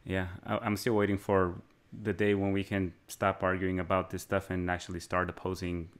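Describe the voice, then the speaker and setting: A man raised in Mexico co-hosts a relaxed podcast, his voice sitting very low. He rambles and muses as he talks, often breaking into laughter. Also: moderate pace. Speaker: podcast co-host. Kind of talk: casual chat.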